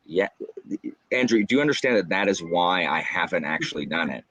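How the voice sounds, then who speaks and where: A man in his late thirties chatting over a video call, with animated, incredulous joking rants. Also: deep voice, brisk, lo-fi sound. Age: 30s. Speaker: booktuber guest. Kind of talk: casual video-call chat. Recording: noisy video call audio.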